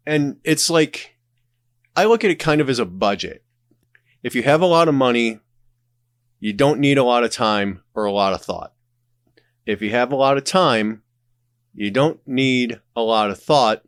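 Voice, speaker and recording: A man's voice: mid-range voice, lively intonation, a little slow, pauses often, clean tone, dry recording, studio quality. A man with a smoker's voice chatting casually as a podcast guest, wry and self-deprecating, given to rambling asides. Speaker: podcast guest, audio drama creator. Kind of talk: casual conversational interview.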